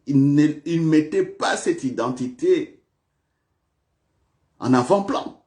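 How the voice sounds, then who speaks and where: A man's voice: on the high side, animated, takes it slowly, dark tone. A male pastor preaching a sermon in a church, repeating questions to the congregation with big swings of emphasis.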